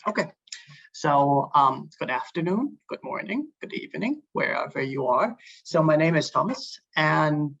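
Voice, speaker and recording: A man in his early twenties from Hong Kong gives an informal, hesitant student presentation on an online call, with frequent filled pauses. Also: on the high side, expressive, gravelly, fairly bright tone. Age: early 20s. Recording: dull lo-fi online call audio.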